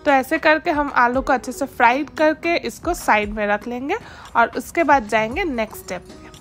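High-voiced woman narrating a cooking recipe. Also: normal speed, faint music behind. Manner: instructive, steady, mixes English words into Hindi. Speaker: home cooking channel host. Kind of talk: step-by-step cooking voice-over.

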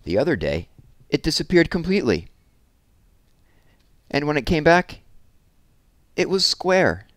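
A man's voice: fairly high pitch, very animated, unhurried, long pauses, no echo. A man in his thirties reading children's picture books aloud expressively, with casual asides, on a headset mic indoors. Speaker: read-aloud storyteller. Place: small room at home, indoors.

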